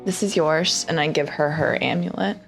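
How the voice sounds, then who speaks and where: A woman's voice: sits low, normal speed, soft background music. A woman playing a tabletop roleplaying game, casually moving between in-character lines and describing her actions.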